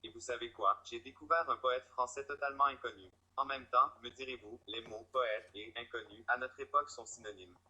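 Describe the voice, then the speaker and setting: A man's voice: medium pitch, fairly even intonation, brisk, few pauses, sharp tone, breathy edge, low-quality recording. A man voicing a pompous, wry talking cat who loves poetry and presents it in a scripted style. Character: haughty talking poet cat, playful, male, poetry lover.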